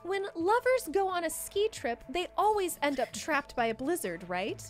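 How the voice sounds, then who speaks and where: A woman performs a young princess from a visual novel game in a high, animated voice. The delivery is formal and precise, full of earnest enthusiasm, with music underneath. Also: moderate pace. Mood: polite curiosity. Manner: formal, precise, exclamatory speech. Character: polite foreign princess girl, earnest, enthusiastic about Japanese dramas. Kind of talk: dramatic read of visual novel dialogue.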